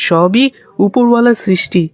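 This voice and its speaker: A woman's voice: a little high, normal speed, dry recording, natural voice. A woman voicing a friendly, fair-minded cartoon schoolboy who talks in an eager, reasoning way.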